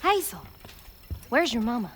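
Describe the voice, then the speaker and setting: High-voiced caring Southern woman preacher. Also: very animated, normal speed, fairly bright tone, a little hiss or hum. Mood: concerned. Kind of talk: naturalistic dramatic game acting.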